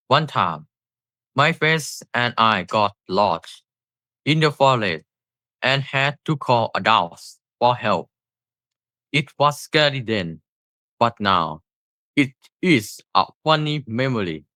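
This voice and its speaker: An eighteen-year-old man with a Thai accent, reading a scripted class presentation slowly and carefully. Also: mid-range voice, animated, sharp tone, no room sound. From Thailand. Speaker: student presenter.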